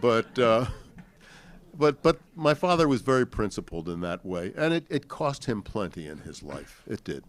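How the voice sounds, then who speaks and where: An older man with an American accent recounts family memories to an audience in a halting, deliberate, reflective way. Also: lowish voice, very animated. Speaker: panel speaker sharing family recollections. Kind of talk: unscripted storytelling to an audience.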